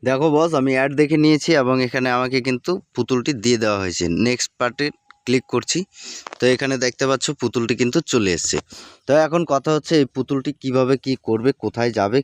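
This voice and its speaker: A man's voice: mid-range voice, fast, no room sound. A man narrating an informal video tutorial, addressing viewers familiarly and often repeating himself and his catchphrases. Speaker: tutorial presenter.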